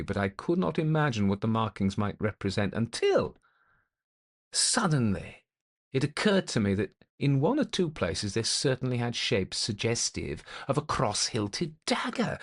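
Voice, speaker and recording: A man playing a gentleman investigator who recounts an eerie experience in a fairly low voice. He is methodical and intent, telling his ghost story in measured, formal sentences with deliberate, old-fashioned phrasing. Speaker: narrator.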